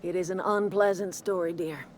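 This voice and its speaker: A woman's voice: on the low side, fairly even intonation, moderate pace, a little hiss or hum, natural voice. An actress playing a kindly, plain-spoken older woman who leads a garden commune in a video game, with a folksy, motherly manner. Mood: wistful, firm. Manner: folksy, motherly, calls people dear. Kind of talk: video game character acting.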